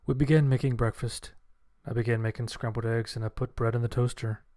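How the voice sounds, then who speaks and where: A man's voice: mid-range voice, steady tone, a little fast, mellow, dry recording. A man reading a scripted first-person horror story as its narrator. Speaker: audiobook narrator. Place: voice-over with background music.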